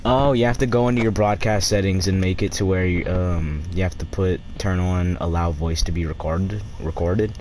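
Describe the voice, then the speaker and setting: A man with a deep voice chatting casually and crudely while streaming a game, rambling and swearing as he plays. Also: steady tone, moderate pace, few pauses, a little mellow, slight background noise. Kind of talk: casual live-stream chat while gaming.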